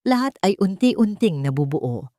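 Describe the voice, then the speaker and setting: A woman's voice: medium pitch, very animated, unhurried, warm tone, no echo. A woman reading a scripted romantic story as a dramatic narration.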